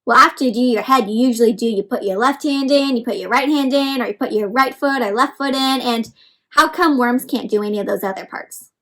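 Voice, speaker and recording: A woman with a high voice reads a picture book aloud to young children. She speaks like an encouraging teacher, talking straight to her listeners, asking them questions and explaining words simply. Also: a little fast, a bit bright. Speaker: read-aloud storyteller for children.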